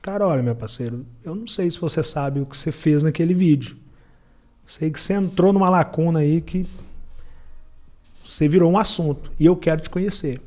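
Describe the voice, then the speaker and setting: Brazilian guy telling a story on a podcast, really quick, high voice, slangy and emphatic, does the dialogue. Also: darkish tone. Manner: acts out dialogue as he recounts, colloquial and emphatic. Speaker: podcast guest, entrepreneur and former beach water vendor. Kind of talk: animated first-person storytelling in conversation.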